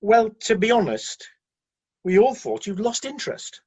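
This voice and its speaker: A candid, deferential aide in heaven played by a man speaks in a radio play with a husky voice. The intonation is lively and varied, yet the manner stays hesitant and matter-of-fact, on a slightly dull recording. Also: sits high, unhurried, heavily acted. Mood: matter-of-fact, apologetic.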